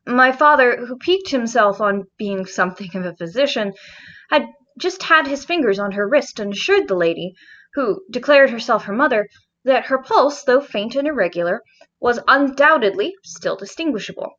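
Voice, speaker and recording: A woman narrator reading gothic fiction from a script in a deliberate, expressive, literary manner. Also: on the high side, muffled recording. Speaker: audiobook narrator.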